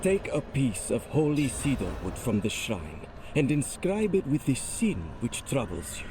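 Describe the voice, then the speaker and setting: An actor playing a solemn gate guard of a holy order in a fantasy video game, giving ceremonial instructions. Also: male voice, medium pitch, big pitch swings, a little slow, background noise.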